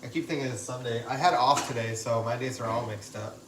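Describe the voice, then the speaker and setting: A man's voice: mid-range voice, a little fast, a crowd in the background, rough recording. A man hosting a casual live cooking stream from a home kitchen, joking around and correcting himself mid-sentence. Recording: kitchen clatter behind. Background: dishes and pots clanking.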